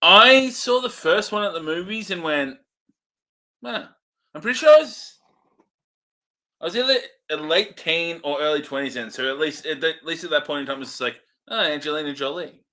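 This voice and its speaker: A man of about forty with a bright, slightly high voice. He co-hosts a film review and talks in a casual, conversational way. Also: lively intonation, moderate pace. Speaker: co-host of a film review show. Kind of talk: casual chat.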